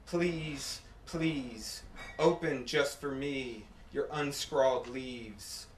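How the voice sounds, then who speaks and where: A man reads poetry at a slow, deliberate pace, setting short phrases apart with pauses. His voice sits at a middle pitch. The room is echoey, with faint noise behind him. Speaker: poet reading own work. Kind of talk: poetry reading. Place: apartment room at a live reading.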